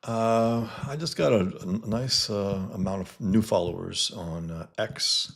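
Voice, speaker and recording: Man, very low voice, halting casual talk, lots of fillers, livestream chat. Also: vocal fry, fairly bright tone. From the Bronx, New York. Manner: halting, many fillers and restarts; greets viewers mid-talk. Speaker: cartoonist.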